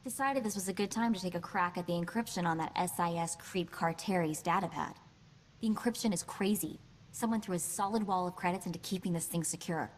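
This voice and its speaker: Woman voicing a sassy young hacker girl from a video game, chatty n wry, bit low, some background hum. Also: brisk, close to an everyday voice. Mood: uneasy. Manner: wry, chatty, hesitant asides. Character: sassy young woman hacker, quick-witted, restless, secretly vulnerable.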